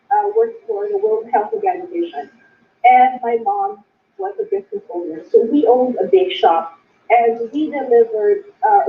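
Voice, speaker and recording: A young Filipino woman telling her family story in a presentation, slipping Tagalog and Bisaya words into her English. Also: very high-pitched. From Philippines. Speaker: business recruiter sharing her story. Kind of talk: personal testimony presentation. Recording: echoey, distant, phone-like sound.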